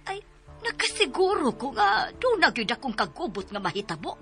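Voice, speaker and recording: A woman's voice: fairly high pitch, very animated, moderate pace, loud music behind the voice. A woman with a Filipino accent playing an exasperated, worried mother and mistress of the house in a radio melodrama, emphatic and scolding. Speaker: radio drama actor. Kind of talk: melodramatic radio drama acting.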